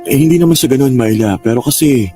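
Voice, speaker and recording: A man playing a hesitant, apologetic younger boyfriend who speaks haltingly in a radio drama. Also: medium pitch, lively intonation, mellow, lightly acted. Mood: apologetic, gentle. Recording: music bed under voice.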